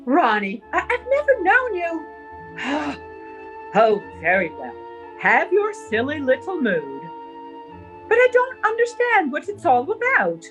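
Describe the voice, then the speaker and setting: Snobbish older aunt, 1930s radio play: prim, impatient, wildly animated intonation, unhurried delivery. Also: female voice, high voice, lo-fi sound, full character voice. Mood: impatient, fretful. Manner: prim, haughty, fretful. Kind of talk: 1930s radio drama acting. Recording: 1930s radio recording with music.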